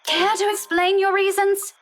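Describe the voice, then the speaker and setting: High-voiced, exasperated young woman. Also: flat delivery, normal speed, slight background noise. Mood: questioning. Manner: biting, sarcastic, impatient. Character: exasperated, sarcastic young woman named Rebecca. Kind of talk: visual novel game voice acting.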